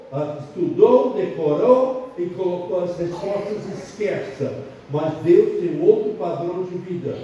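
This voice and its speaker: Older foreign pastor preaching in echoey church. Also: male voice, high-pitched, dark tone, a little hiss or hum. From abroad, not Brazil. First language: not Portuguese. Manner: emphatic preaching with repetitions and restarts. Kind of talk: sermon. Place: church hall. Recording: very echoey church hall, distant muffled mic.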